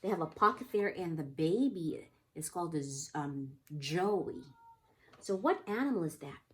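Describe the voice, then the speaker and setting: A woman's voice: fairly low pitch, lively intonation, normal speed. A woman teaching young children in a read-aloud lesson, explaining simply and prompting with repeated questions. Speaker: pre-K teacher.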